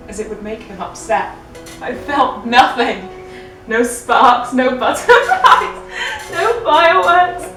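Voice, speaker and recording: A tipsy woman reading a story aloud in a theatrical, playfully hammy, giggly way. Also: fairly high pitch. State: drunk. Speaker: reader of fan fiction for a comedy web show. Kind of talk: jokey dramatic story reading. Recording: echoey room, distant mic, music underneath.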